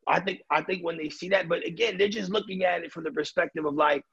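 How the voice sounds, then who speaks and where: A man with a raspy voice talking casually in an interview, loose and streetwise, full of fillers and repeated starts. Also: on the high side, steady tone, fast, lo-fi sound, a crowd in the background. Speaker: rapper and DJ interviewee.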